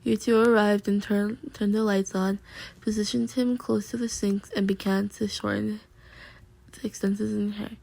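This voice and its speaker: A woman's voice: medium pitch, little pitch movement, moderate pace, creaky, darkish tone. A young woman reading fan fiction aloud, stumbling over words and dissolving into flustered giggles. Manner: giggly, flustered, breaking off to react. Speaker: fanfic reader.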